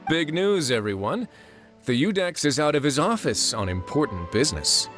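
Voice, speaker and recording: A man's voice: fairly high pitch, big pitch swings, moderate pace, fairly bright tone. A man playing a confident, teasing man of authority in a video game, with a dry, wry manner. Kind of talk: English video game voice acting. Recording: music behind the voice.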